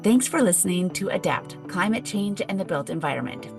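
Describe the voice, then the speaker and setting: Low-voiced middle-aged woman hosting. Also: lively intonation, a little fast, gravelly, music in the background. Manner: rambling, thinks aloud with fillers. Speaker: podcast co-host. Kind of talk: conversational interview.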